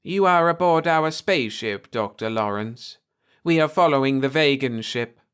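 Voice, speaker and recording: A man voicing a courteous reptilian alien starship captain, speaking softly and formally with a slightly slurred, measured delivery. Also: mid-range voice, expressive, smooth, voice pushed up, lightly acted. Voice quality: soft, slurred voice.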